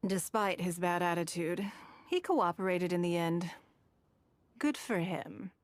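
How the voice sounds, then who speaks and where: A woman playing a shrewd, wealthy, coolly commanding businesswoman in a video game, speaking in measured, eloquent, formal phrases. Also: fairly low pitch, no room sound. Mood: composed, wry.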